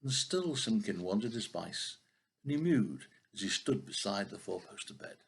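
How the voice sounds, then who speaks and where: A man's voice: fairly low pitch, sing-song, moderate pace, vocal fry, slightly rough. An older man, a grandfather, reading a children's story aloud expressively, now and then stumbling over a word.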